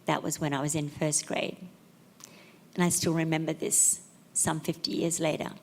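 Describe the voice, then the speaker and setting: A middle-aged woman with a low voice reads written tributes aloud at a memorial service. She takes it gently and with measured pauses between phrases. The hall adds a long, lingering reverb. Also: flat delivery, vocal fry. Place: memorial service venue, large room.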